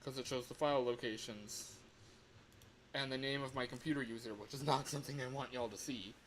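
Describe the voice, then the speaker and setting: A man with a mid-pitched voice chats casually as a livestream host. He rambles and exclaims, circling back over his own words. The home room recording carries clear background noise. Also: a little fast, poor audio. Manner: animated, exclaiming, self-interrupting and repeating words. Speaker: livestream host, game developer.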